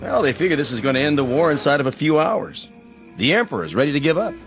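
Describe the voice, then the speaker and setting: A casual young army messenger. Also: male voice, on the high side, very animated, quick pace. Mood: offhand. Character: young male army messenger bringing news. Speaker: soldier. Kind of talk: radio drama acting. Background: music score.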